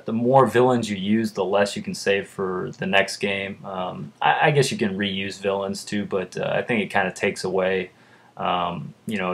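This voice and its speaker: Deep voiced young guy vlogging, kinda monotone, lots of uhs. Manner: rambling, lots of uhs and ums. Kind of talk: casual chat.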